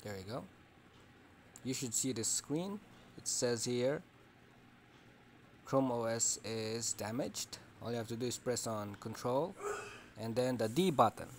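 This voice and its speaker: A man's voice: mid-range voice, expressive, measured pace, pauses often. A man gives a casual step-by-step tutorial in short, simple instructions. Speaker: tech tutorial host. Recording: clearly noisy room ambience.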